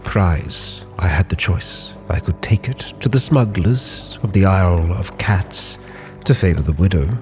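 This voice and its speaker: A man's voice: low voice, unhurried, darkish tone. A man giving solemn, brooding, theatrical first-person narration for a roleplay story. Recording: prominent music behind the voice.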